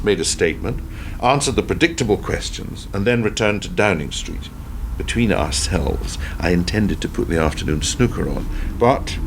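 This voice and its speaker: Actor as sardonic, low-voiced old ex-prime minister. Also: male voice. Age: older. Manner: wry, theatrical storytelling. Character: weary, sardonic ageing former male prime minister reminiscing. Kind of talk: radio play acting. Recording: noisy amateur radio play recording.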